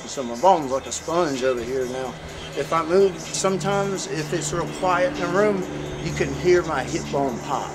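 A man's voice: a little high, normal speed, over music. A man in a casual street interview who tells his story in an earnest, preaching way, filling his talk with "you know.".

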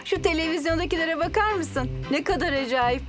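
A woman's voice: very high voice, fairly quick, loud music behind the voice. A woman voicing a sweet, absent-minded mother in a children's cartoon dub. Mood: puzzled, amused.

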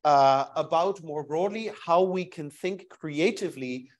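A man with a loud, carrying voice, giving a wry, conversational conference talk from a lectern in a lecture hall. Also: on the high side, a little slow. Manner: wry, self-deprecating, conversational with many fillers. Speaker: invited academic speaker. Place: wood-panelled university lecture hall. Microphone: lectern microphone.

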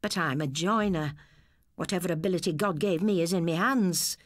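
An English woman voicing a weary, wounded middle-aged working man in a storybook, slow and plain-spoken. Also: on the low side, no room sound, studio quality, lightly acted. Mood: dejected.

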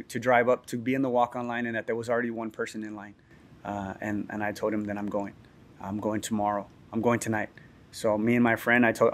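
A man in his thirties from Texas and Chicago, explaining his training gym in a sit-down interview in an indoor gym, earnest and full of "uh" restarts. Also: fairly low pitch. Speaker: gym owner and ninja athlete.

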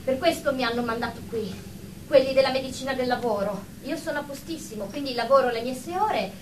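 A woman's voice: high voice, fairly quick, distant mic, background noise, close to an everyday voice. A woman playing a fussy, defensive, germ-phobic therapy patient in a comic radio drama. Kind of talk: radio comedy-drama acting.

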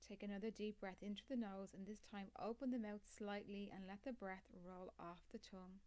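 Woman calmly guiding meditation, even pitch. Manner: calm, soothing, measured with long pauses. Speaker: yoga nidra teacher. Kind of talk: guided meditation, scripted instruction.

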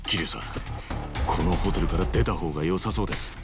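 An actor playing an earnest, streetwise and protective middle-aged hero in a dramatic video game. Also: male voice, low voice, fairly quick, music in the background. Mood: firm, concerned.

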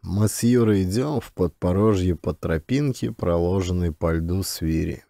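A man's voice: sits low, normal speed, vocal fry, dark tone, no room sound, clean studio sound. A man reading a literary memoir as a scripted audiobook narration.

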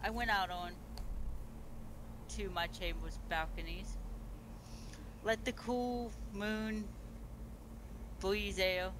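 Beast empress played by a man, high voice, formal halting speech, noisy game-chat audio. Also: steady tone. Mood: stately. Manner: formal, grand speech with restarts. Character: pregnant beast-woman empress hosting a feast. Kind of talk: role-play in a multiplayer game.

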